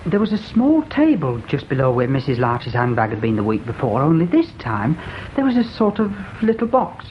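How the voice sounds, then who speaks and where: A naive young English lad in a radio play, earnest and hesitant with very lively intonation. He is eager and deferential to his betters. The recording is muffled, with noise behind it. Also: mid-range voice, moderate pace, few pauses. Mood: earnest recounting. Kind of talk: radio drama acting.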